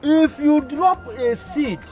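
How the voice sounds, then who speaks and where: A man's voice: very high voice, expressive, slow, dark tone, highs cut off, soft background music, exaggerated voice. A man playing an older village chief who scolds and talks evasively. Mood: lecturing.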